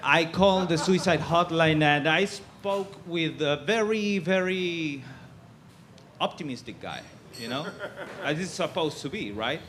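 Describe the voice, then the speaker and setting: A man from Argentina doing a stand-up set on a comedy club stage, with a halting delivery full of fillers. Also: on the high side, fairly bright tone, a little hiss or hum.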